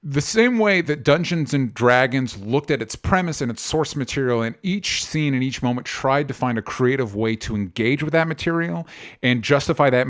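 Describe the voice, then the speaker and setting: A man's voice: medium pitch, fairly bright tone. A man co-hosting a movie podcast, giving opinions in a casual, argumentative and exasperated way with some swearing. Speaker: podcast co-host reviewing movies.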